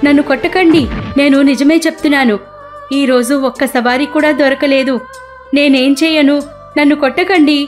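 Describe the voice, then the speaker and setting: A woman's voice: high voice, steady tone, moderate pace, faint music behind, voice pushed up, natural voice. Woman voicing a plaintive, pleading poor rickshaw man. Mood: frightened, begging. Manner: plaintive, beseeching. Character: anguished, pleading poor male auto-rickshaw driver, devout.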